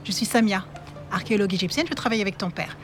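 An actress playing a brisk, busy Egyptian archaeologist and mother in an audio drama. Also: medium pitch, a bit bright, faint music behind, natural voice.

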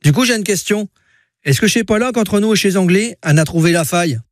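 A man's voice: sits high, animated, a little fast. A man doing a folksy, mocking humor column on morning radio. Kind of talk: joking regional chronicle.

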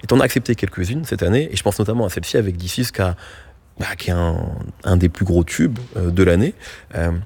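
Male radio host, low gravelly husky voice, loose conversational questioning. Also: fast talker, darkish tone. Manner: conversational, rambling questions with fillers. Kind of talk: radio interview.

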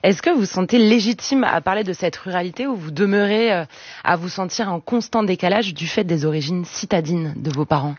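A woman's voice: fairly low pitch, brisk, slightly rough, no echo. Woman, radio host, hesitant, lots of fillers, dry dull studio sound. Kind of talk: radio talk show interviewing.

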